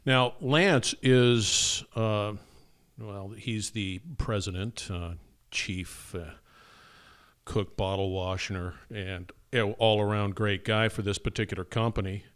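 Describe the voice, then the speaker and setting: A man's voice: fairly low pitch, slow, clear, resonant tone, no room sound. Male podcast host, big resonant voice, chatty and filler-heavy, dry booth sound. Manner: energetic, many fillers and restarts. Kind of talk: casual podcast intro and interview.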